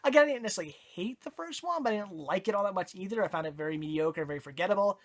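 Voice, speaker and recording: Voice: mid-range voice, lively intonation, rapid-fire. A horror movie reviewer talks through a casual video review while losing their voice. They ramble and double back with plenty of ums, restarts and corrections. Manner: rambling, self-correcting, many fillers. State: hoarse, voice giving out.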